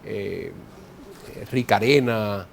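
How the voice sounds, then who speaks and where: A man's voice: lowish voice, extremely slow, creaky, slight background noise. A man in his forties with a Colombian Caribbean coastal accent, a guest in an interview who explains things conversationally and often repeats words while he looks for the next one. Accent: Colombian Caribbean coastal Spanish. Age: 40s.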